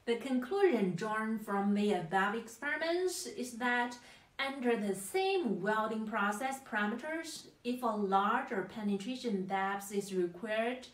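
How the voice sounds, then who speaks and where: A young woman presenting a scripted technical explainer in careful, halting English. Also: a little high. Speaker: product presenter. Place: small plain indoor room, seated. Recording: echoey room.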